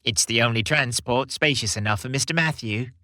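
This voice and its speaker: A man's voice: mid-range voice, fairly quick, bright, forceful tone, dry recording, studio quality, close to an everyday voice. Man voicing a polite, chirpy little Christmas elf. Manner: courteous, eager, formal.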